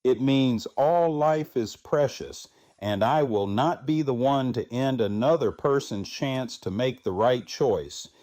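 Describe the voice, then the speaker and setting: A man playing a calm, firm pacifist in an audio drama, speaking in a measured, earnest way. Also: mid-range voice, no room sound, lightly acted. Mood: earnest, resolute.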